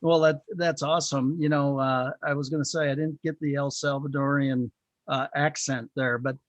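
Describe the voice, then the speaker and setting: A man's voice: medium pitch, steady tone, moderate pace, no room sound, highs cut off. A man hosting a conversational interview, chatty and complimentary, with hesitant phrasing. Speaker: talk show host.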